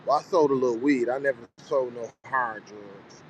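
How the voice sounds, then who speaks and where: A man's voice: medium pitch, moderate pace, slight background noise, low-quality recording. A man in his forties talking casually in a loose, reflective monologue, often saying "you know what I'm saying". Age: 40s. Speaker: vlogger.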